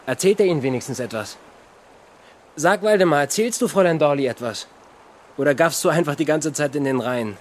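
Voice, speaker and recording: A man's voice: on the high side, animated, brisk. An actor playing a jovial, teasing man who courts women politely in a German radio drama.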